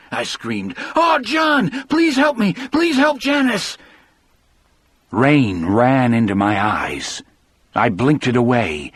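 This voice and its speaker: A man narrating a dramatic first-person audiobook as a grief-stricken elderly former prison guard, in a measured, somber way. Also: medium pitch, breathy edge, no echo, exaggerated voice. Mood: anguished, screaming.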